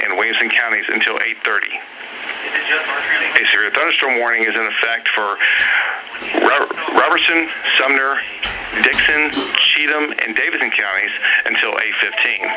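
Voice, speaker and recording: Heavy noise covers this weather radio broadcast. A man with a medium-pitched voice gives live severe weather warnings. He speaks haltingly and partly off the cuff, repeating lists and times as an announcer would.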